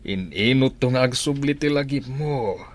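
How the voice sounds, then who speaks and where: An actor playing a helpful, paternal restaurant boss in an Ilocano radio drama. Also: male voice, medium pitch, moderate pace, natural voice. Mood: reassuring.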